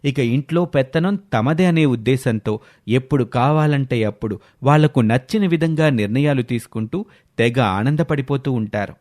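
A man's voice: medium pitch, normal speed, darkish tone. A man narrating a scripted moral story as a storyteller.

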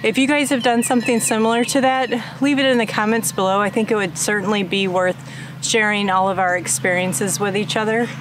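A middle-aged woman talking casually as she plants in an outdoor garden. Her pitch sits in the middle, and steady outdoor noise runs behind her through the camera mic. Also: fairly quick. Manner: chatty, talks while working. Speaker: homestead vlogger.

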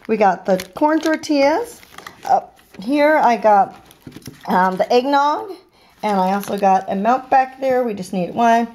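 A woman's voice: a little high, a little mellow, a little hiss or hum, rough recording. Chatty mom showing her grocery haul. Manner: chatty, many ums and uhs, homey. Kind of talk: casual show-and-tell narration. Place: home kitchen, indoors. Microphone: handheld camera filming the counter.

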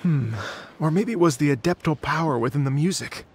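Actor as a grand showman, gravelly, slightly high, over-the-top gratitude. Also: a little fast, a little hiss or hum, close to an everyday voice. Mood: effusive. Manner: theatrical, gushing, grateful. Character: flamboyant male stage performer, grandly effusive. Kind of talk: video game voice acting.

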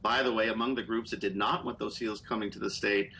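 A middle-aged man talks through a webcam from a small indoor room, explaining political stories in a calm, measured way. His voice has a bright edge. A faint background hiss sits under the call audio. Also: on the low side, gravelly, low-quality recording. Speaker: political reporter. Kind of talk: live news interview over video call. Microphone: video call webcam.

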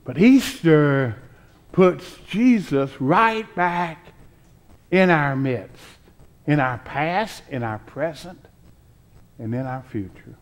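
An older man preaching a sermon from a pulpit, deliberate and emphatic, pausing between phrases. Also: fairly high pitch, animated. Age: 60s or older. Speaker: pastor preaching. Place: church sanctuary, at a pulpit with choir behind.

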